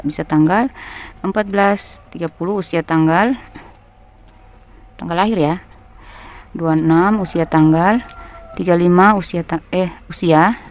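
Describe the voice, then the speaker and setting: A woman with a low, deep-set voice and a North Maluku accent talks through a tarot reading. Her delivery is gentle and unhurried, calm and reassuring toward the listener. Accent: North Maluku Indonesian. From North Maluku, Indonesia. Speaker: tarot reader. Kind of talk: casual tarot reading monologue.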